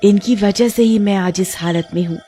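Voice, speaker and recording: A woman's voice: mid-range voice, moderate pace, mellow, soft background music, natural voice. A woman voicing a fierce snake-bodied female witch who cackles and threatens. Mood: vengeful.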